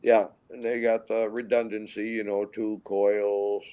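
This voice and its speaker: Low-voiced older Minnesota man, halting. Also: little pitch movement, lo-fi sound. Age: 60s. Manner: rambling, halting, with frequent restarts. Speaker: guest pilot. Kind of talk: casual storytelling interview.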